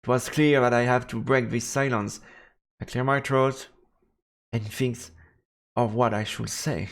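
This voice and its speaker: Gravelly male streamer. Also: mid-range voice, fairly even intonation, a little slow. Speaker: game streamer. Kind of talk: live let's play, commentary and reading aloud.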